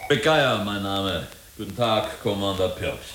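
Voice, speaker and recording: An actor playing a glib company representative in a German radio drama, polite, wordy and officious. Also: male voice, lowish voice, expressive, unhurried, fairly bright tone, a little hiss or hum. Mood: jovial, officious. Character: glib, jovial, evasive male company representative. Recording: roomy, echoing studio scene.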